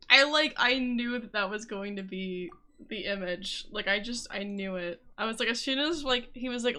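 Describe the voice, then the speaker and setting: A woman's voice: medium pitch, pushed and bright. A woman chatting casually and informally as a game streamer during a live stream.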